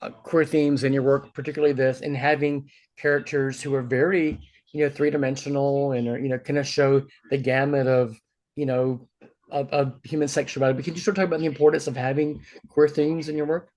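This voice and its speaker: Man, film festival interviewer, mid-range pitch, conversational questions, hesitant piecemeal phrasing. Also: little pitch movement, brisk, a little mellow.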